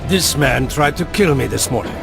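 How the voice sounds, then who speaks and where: A man's voice: mid-range voice, expressive, normal speed, creaky, over music. A man playing an indignant imperial ambassador in a video game, speaking formally and insistently. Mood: accusing. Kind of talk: video game dramatic dialogue.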